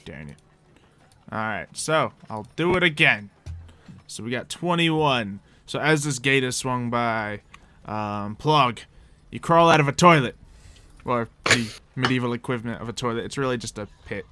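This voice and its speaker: Bright-voiced man running a tabletop game. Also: mid-range voice, lively intonation, normal speed. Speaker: game master. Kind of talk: casual improvised narration of a tabletop game.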